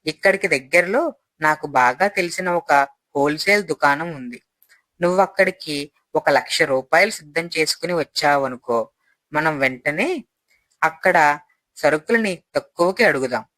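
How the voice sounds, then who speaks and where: A man acting as a young con artist in a moral tale, posing as a pal. He coaxes persuasively in a bright, slightly high voice with a dry sound. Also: expressive, moderate pace, long pauses, no room sound, poor audio. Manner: persuasive, coaxing. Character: smooth-talking young male swindler posing as a friend.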